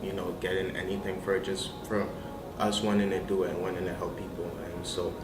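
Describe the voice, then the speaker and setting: A man's voice: deep voice, flat delivery, a little fast. A young man from Massachusetts, an entrepreneur, speaking earnestly and reflectively in a profile interview with hesitant restarts. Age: young adult. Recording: echoey room, distant mic, loud music bed. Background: loud background music.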